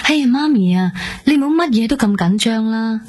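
A woman's voice: fairly high pitch, lively intonation, fairly quick, darkish tone. An actress playing a young woman recovering from heart surgery, sighing impatiently at her mother in Cantonese. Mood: exasperated.

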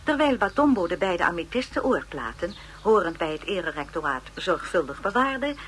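A formal female narrator reads scripted documentary material in a radio drama. Her intonation is animated and expressive, with a touch of noise on the recording. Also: on the low side. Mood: informative, wry. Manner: formal, expressive, measured storytelling. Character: female narrator of a biographical encyclopedia programme.

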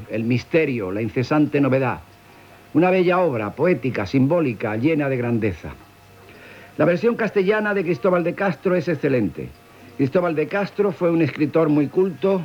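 Highish Castilian male radio presenter, declamatory. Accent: Castilian Spanish. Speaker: radio presenter introducing a play. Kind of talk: literary, declamatory scripted introduction. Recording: dull 1970s radio recording.

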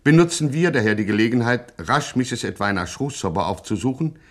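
A man's voice: medium pitch, lively intonation, brisk, natural voice. Formal male narrator for a gangster radio satire, mid-range voice, mock-epic solemnity.

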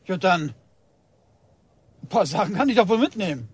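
A village policeman in a radio drama. His voice sits high and close in a dry room. He is dutiful and official, yet flustered, hesitant and a little indignant. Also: sing-song, moderate pace, slightly rough, dry recording, full character voice.

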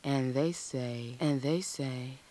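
A voice reciting literary lines deliberately in an art radio piece, repeating phrases. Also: mid-range voice, mellow, natural voice.

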